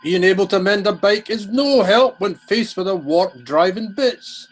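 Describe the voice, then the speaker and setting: A man's voice: high voice, expressive, measured pace, faint music behind. A man playing an earnest, insistent know-it-all Home Guard crewman in a comedy radio play. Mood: scornful, proud. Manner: corrective, insistent.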